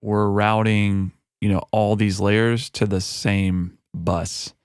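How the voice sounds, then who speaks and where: A man who is a music producer giving a relaxed, chatty walkthrough of a mixing session into a broadcast mic in his home studio. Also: lowish voice, takes it slowly, smooth, dry recording. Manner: relaxed and conversational, with frequent fillers. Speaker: music producer and recording artist. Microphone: broadcast studio microphone.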